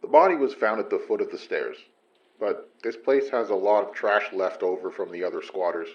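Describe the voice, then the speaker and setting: A dry, tired detective in his thirties for an audio drama. His voice sits low and the recording sounds a little muffled. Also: fairly quick. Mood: matter-of-fact. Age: 30s. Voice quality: terse, dry and deadpan. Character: gruff weary male detective, 38, dry and terse.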